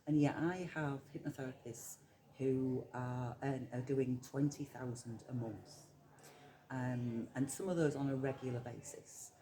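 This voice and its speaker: A woman's voice: extremely low pitch, fairly even intonation, measured pace, poor audio. Chatty middle-aged Yorkshire woman. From Yorkshire, England. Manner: chatty, reflective, many ums and soft laughs. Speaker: hypnotherapist guest speaker. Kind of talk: casual interview chat.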